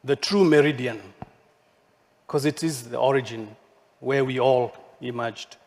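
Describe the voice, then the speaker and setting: A man who speaks English as his second language, giving a measured, poetic keynote lecture with deliberate rhetorical questions. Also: mid-range voice, darkish tone. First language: not English. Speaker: architect giving a keynote talk. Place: large hall at a forum. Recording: long hall reverb, stage mic.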